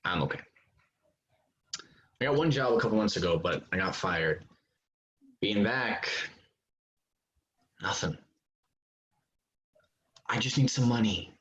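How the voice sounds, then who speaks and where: A man's voice: lowish voice, animated, slow, long pauses, fairly bright tone. Man acting needling ex-soldier, dull lo-fi sound. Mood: downcast. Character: insinuating, controlling ex-soldier, abusive former partner. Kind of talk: staged play reading over video call.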